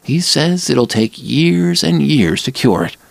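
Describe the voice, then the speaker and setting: A man voicing a high, fragile young woman. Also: very animated, slow, creaky, no room sound, full character voice. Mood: anxious. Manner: breathless, pleading, voice lifted high. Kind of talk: audiobook character voice.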